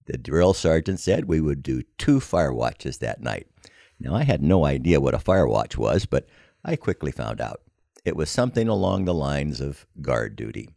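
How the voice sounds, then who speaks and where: Older American male storyteller, extremely low and gravelly, measured, wry scripted narration. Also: big pitch swings, dark tone, dry recording, studio quality. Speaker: podcast storyteller.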